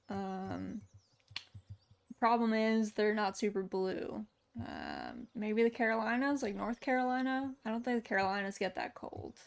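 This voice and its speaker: A woman's voice: mid-range voice, gravelly. A woman streaming a game, chatting casually and answering viewer chat with lots of fillers. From United States. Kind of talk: casual chat while gaming.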